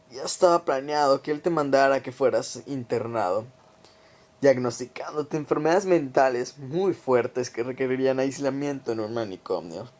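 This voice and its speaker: A man playing a cold government conspirator in a radio drama, confessing a plot to his victim in a deliberate, taunting, menacing way. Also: medium pitch, darkish tone, natural voice. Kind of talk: amateur radio drama monologue.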